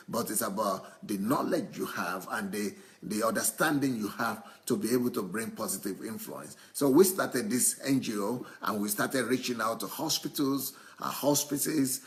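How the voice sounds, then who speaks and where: A man interpreting a church testimony live into English, haltingly, often repeating and rephrasing his phrases. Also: fairly low pitch, a bit bright.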